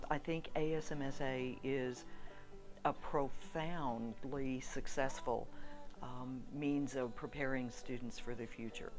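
An older woman with a notably low voice speaks in a measured, earnest way as a school department chair. She is interviewed in an office, with a faint guitar under her words. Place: office with posters, indoors.

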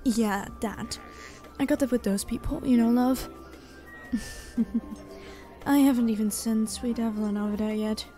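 A woman's voice: a little high, steady tone, unhurried, pauses often, warm tone, faint music behind, natural voice. A woman voicing an elven tavern keeper in an ASMR roleplay, familiar and teasing with the listener, protective and tough. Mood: affectionate, chatty. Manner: familiar, teasing, uses pet names.

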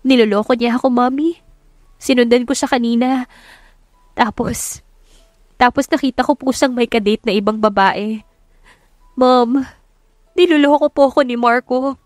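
A woman's voice: on the high side, moderate pace, long pauses, darkish tone, no echo, clean studio sound, pitched above the performer's own voice, close to an everyday voice. Woman acting a blunt, wounded young woman. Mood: tearful, hurt. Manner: blunt, emotional. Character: heartbroken, guarded young woman, proud and blunt.

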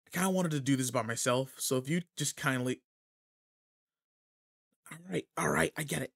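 A man's voice: mid-range voice, moderate pace, vocal fry, fairly bright tone. A man playing a cocky, irritable teenage delinquent in a roleplay audio drama, talking casually and teasingly straight to the listener. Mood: irritated, teasing.

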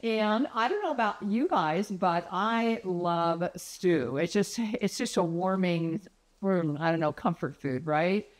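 A woman's voice: lowish voice, expressive, slow. Chatty older woman cooking in her kitchen. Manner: chatty, friendly, rambling with fillers and laughs. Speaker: vlogger. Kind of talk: casual chat to camera. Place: home kitchen, indoors. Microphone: phone camera at arm's length.

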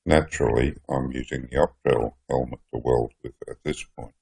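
Very deep gravelly man. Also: fairly even intonation, normal speed, a little mellow. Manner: chatty, plainspoken, self-deprecating. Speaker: hobby welder reviewing gear. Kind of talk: casual product review. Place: workshop shed.

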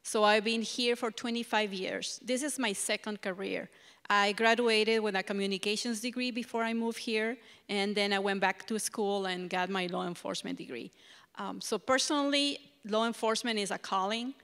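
A woman's voice: medium pitch, normal speed, a bit bright. A middle-aged woman with an Ecuadorian accent, a police sergeant giving a personal career talk into a handheld microphone on stage. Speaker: police sergeant, panel speaker. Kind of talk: personal career presentation to an audience. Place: stage of an auditorium. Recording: reverberant hall sound.

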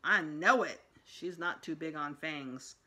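A woman's voice: deep voice, slow pace, sharp tone, slightly rough, full character voice. A woman voicing a wry fourth-grade boy, a reluctant big brother in a storybook.

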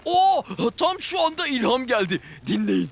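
An actor voicing a playful, boastful young monkey in a children's cartoon, excitable and cheeky. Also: high voice, normal speed, vocal fry, exaggerated voice. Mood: excited, boastful. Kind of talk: children's animated cartoon dub. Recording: music behind the voice.

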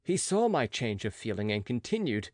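A man narrates a classic novel in a measured, dramatic way. His mid-pitched voice moves expressively, and the recording is dry and close. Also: sing-song, dry recording. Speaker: audiobook narrator. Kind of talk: scripted literary narration.

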